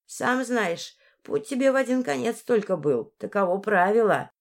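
A woman's voice: medium pitch, lively intonation, normal speed, close to an everyday voice. A woman voicing a grumpy, folksy old village crone in a fairy-tale audiobook, grumbling and snapping in rustic dialect. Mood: stern, knowing.

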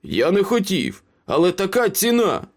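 A man's voice: high-pitched, wildly swooping pitch, moderate pace, voice pushed up, heavily acted. A man voicing a wounded, cowardly young male traitor in a storybook, pleading and protesting breathlessly. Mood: guilty, pleading. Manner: frantic, gasping pleas and protests.

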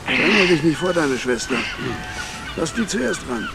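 An actor in a radio crime drama playing a bitter, bullying slob of a father with a gruff, bossy, complaining way of talking. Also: fairly high pitch, huge pitch swings, a little slow, very noisy. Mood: bossy, irritated.

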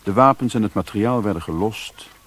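An actor playing a sombre adventurer who narrates his journey in a radio play, with a husky voice. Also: fairly low pitch, a little fast, fairly bright tone, close to an everyday voice.

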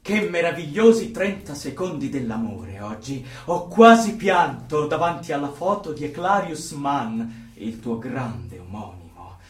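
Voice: mid-range voice, very animated, unhurried, hardly any pauses. An actor playing a pompous, fervent Party zealot in a radio drama, with a theatrical, declamatory delivery. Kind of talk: Italian radio drama acting. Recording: roomy, distant stage-like sound.

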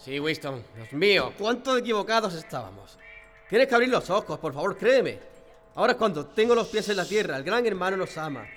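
A man's voice: sits high, big pitch swings, a little fast, a bit bright. A man from playing a brainwashed prisoner in a radio drama, pleading fervently for devotion to his oppressors. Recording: long hall reverb, faint music behind.

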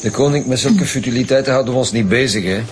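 A man's voice: medium pitch, quick pace, over music, natural voice. A man acting as a world-weary, dismissive chief detective in a radio drama, dry and sardonic. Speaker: actor in a radio serial.

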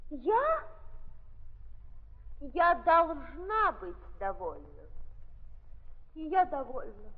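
A woman's voice: high voice, big pitch swings, extremely slow, long pauses, exaggerated voice. An actress playing a coquettish, gushing society lady in a theatrical 1930s radio drama, with affected speech sprinkled with French. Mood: effusive, plaintive. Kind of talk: 1930s Russian stage radio drama acting. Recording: muffled 1930s recording, hall reverb.

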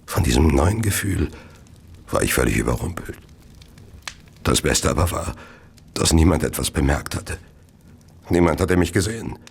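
An actor playing a Victorian merchant who narrates his own murders in a radio play, measured, cold and confessional. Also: a little mellow, exaggerated voice. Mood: dark, gloating. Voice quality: deep, gravelly. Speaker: narrator of an audio drama.

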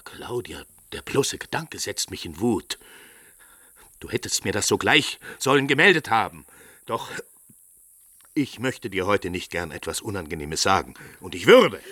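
A man's voice: low-pitched, big pitch swings, a little slow, long pauses, pushed and bright, no echo, clean studio sound, exaggerated voice. An actor playing a stern, proud, hot-tempered middle-aged father, declaiming vehemently in classic radio drama style. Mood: suspicious, furious. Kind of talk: 1950s German radio drama acting.

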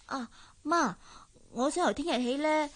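A high-voiced, eager teenage girl. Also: measured pace. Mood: cheerful, eager. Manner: girlish, inquisitive, hesitant when nervous. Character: eager, timid sixteen-year-old cleaning girl, chatty and curious. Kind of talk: Cantonese radio drama acting.

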